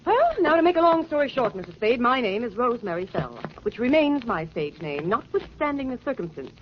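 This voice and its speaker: A woman's voice: on the high side, very animated, a little fast. An actress playing a brassy American showgirl who puts on affected, mock-refined airs in a slangy way, in 1940s radio style. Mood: haughty, confiding. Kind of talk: 1940s radio comedy-drama acting. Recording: muffled, slightly noisy 1949 radio.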